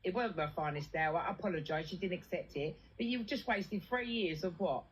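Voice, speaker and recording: A woman's voice: lowish voice, brisk, slight background noise, poor audio. A British woman chatting casually and venting in a blunt, sweary, colloquial way. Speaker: livestream host.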